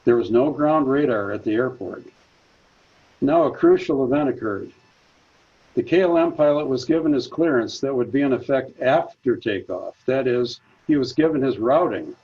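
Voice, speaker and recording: A man's voice: mid-range voice, lively intonation, breathy edge, dull audio. A man presenting a prepared talk at a senior center, reading steadily from his notes. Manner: measured, reads from notes.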